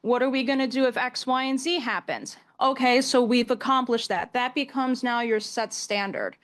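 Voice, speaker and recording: Woman, twenties, American accent, higher-pitched voice, emphatic interview guest explaining in conversational style. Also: moderate pace. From New York State, US. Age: 20s. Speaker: activist guest, community organizer.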